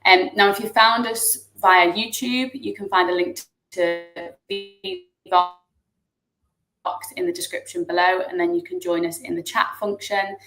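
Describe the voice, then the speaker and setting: A woman's voice: on the low side, fairly even intonation, a little slow. A woman hosting an online webinar over video call, introducing the guest and politely reading out a disclaimer. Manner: polite, reads out a formal disclaimer. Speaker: webinar host. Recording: echoey room audio.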